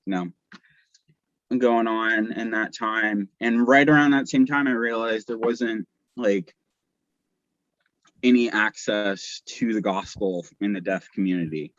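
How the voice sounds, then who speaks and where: Young guy on a muffled video call, kinda low voice, just casually telling his story. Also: fairly even intonation, measured pace, fairly bright tone, no room sound. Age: young adult. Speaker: podcast guest. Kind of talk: casual chat, personal storytelling. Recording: muffled weak internet call.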